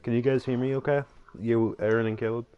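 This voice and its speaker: A man's voice: mid-range voice, little pitch movement, quick pace, muffled recording, slight background noise. A man streaming a game with friends, joking loosely and swearing casually. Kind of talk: casual gaming stream chat.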